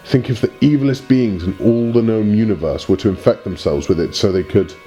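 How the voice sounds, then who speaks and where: A man's voice: lowish voice, brisk, warm tone, soft background music, close to an everyday voice. A man playing a pompous, evasive alien messenger in a parody audio drama, with formal, theatrical delivery. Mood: grave. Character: pompous, evasive alien Time Lord messenger in smart suit.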